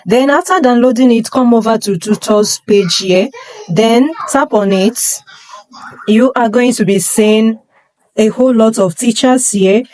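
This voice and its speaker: A woman's voice: medium pitch, darkish tone. A woman from Nigeria, an online teaching coach, walking viewers step by step through a screen-recorded tutorial. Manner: instructive, step by step.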